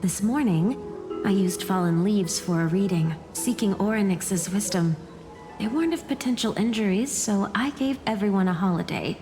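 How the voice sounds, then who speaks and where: A woman's voice: mid-range voice, normal speed, natural voice. A woman playing a kindly, devoted village schoolteacher in a fantasy video game. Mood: kindly, gentle. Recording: clear music behind.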